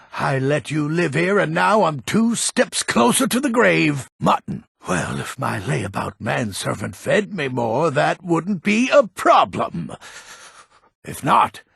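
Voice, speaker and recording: An actor playing a grumpy, proud old Nordic townsman in a fantasy video game, gruff and blunt, with a gravelly, husky voice. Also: a little high, fairly bright tone, no echo, clean studio sound, exaggerated voice. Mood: grumbling. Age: older.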